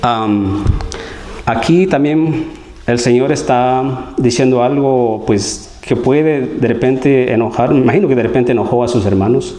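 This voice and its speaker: Man, earnest lay preacher, warm dark voice, echoey church room. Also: medium pitch, a little slow. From Guatemala. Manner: earnest, pastoral, often asks the listeners for agreement. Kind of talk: conversational sermon. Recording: roomy church hall echo.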